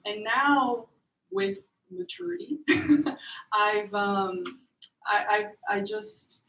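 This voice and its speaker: A woman writer whose first language is Portuguese, originally from Brazil, answering thoughtfully on a panel with many fillers and restarts. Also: mid-range voice. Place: event room at a literary gathering. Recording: roomy, distant, dull-sounding mic.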